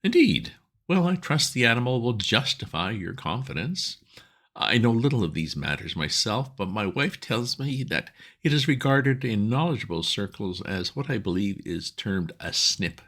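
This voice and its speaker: A man voicing a pompous elderly English lord who speaks in stiff, formal, measured sentences. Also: fairly low pitch, big pitch swings, a bit bright, voice pushed up, heavily acted. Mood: stately. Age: older.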